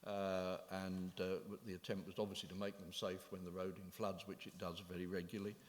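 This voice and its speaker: Measured man chairing in a reverberant hall. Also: low-pitched, little pitch movement. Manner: measured, formal, with hesitations. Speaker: committee chair. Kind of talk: chairing a council meeting. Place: council chamber.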